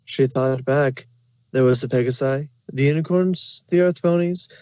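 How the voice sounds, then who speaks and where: A man narrates scripted fan fiction in a medium-pitched voice. The sound is dry and close, with no room around it. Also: normal speed, a little mellow, dry recording.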